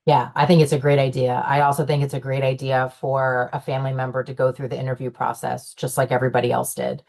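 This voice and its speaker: Young woman panelist, low voice, chatty with lots of fillers, casual panel talk. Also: little pitch movement, fast, gravelly, a little mellow. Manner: chatty, many fillers and restarts, laughs often. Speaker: panelist, owner's daughter in the business.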